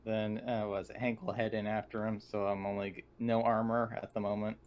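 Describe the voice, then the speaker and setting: A man's voice: fairly low pitch, little pitch movement, brisk, a little mellow. A man chatting casually as a player in an online tabletop game. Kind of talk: casual chat.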